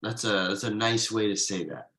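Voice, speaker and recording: A man playing Thomas, an earnest, frugal writer who gets excited easily, in a table read of a TV pilot script. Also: lowish voice, normal speed, close to an everyday voice. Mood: dry, mild teasing.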